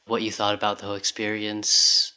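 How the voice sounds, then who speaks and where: A man hosting a casual interview, explaining background to his guest in a relaxed way. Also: on the low side, brisk, smooth, low-quality recording. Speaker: podcast host.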